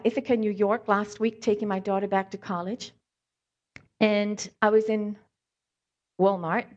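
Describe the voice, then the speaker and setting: A middle-aged woman preaches in a relaxed, chatty way, her light Scottish and South African accent showing in a few words. She mixes scripture with wry stories and plenty of ums, her pitch sitting mid-range for a woman, heard on a slightly dull, muffled recording. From born in Scotland, raised in South Africa. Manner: informal, frequent ums, wry humor. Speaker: lay preacher, hand therapist. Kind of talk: conversational sermon with anecdotes.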